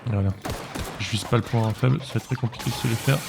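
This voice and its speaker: A man's voice: mid-range voice, quick pace, vocal fry, very noisy. A man with a French accent giving casual, chatty commentary while playing a game, often talking back to it in mock exasperation. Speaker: gaming streamer. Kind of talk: casual let's-play commentary. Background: game music.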